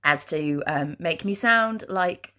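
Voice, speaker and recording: A woman vlogging in a chatty, rambling way, her voice husky from congestion. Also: on the low side, takes it slowly, bright, forceful tone. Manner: rambling, chatty, with many ums. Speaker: craft vlogger. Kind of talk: casual vlog chat. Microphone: handheld camera.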